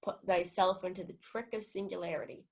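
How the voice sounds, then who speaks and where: A woman's voice: medium pitch, fairly even intonation, a little fast, creaky, darkish tone, natural voice. A young woman acting a pompous, gullible male steward in a Shakespeare comedy radio play, theatrical and self-important. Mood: grandly reading. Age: young adult. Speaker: student actor.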